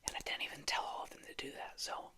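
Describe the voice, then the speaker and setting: An ASMR host talking casually and hesitantly in a soft, breathy, husky whisper, rambling in a chatty way. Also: quick pace. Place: a room at home.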